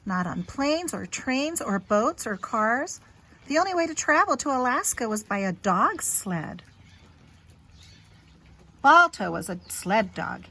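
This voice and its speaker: A woman reading a children's picture book aloud in an expressive, teacherly way, with simple asides to young listeners. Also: fairly high pitch, takes it slowly.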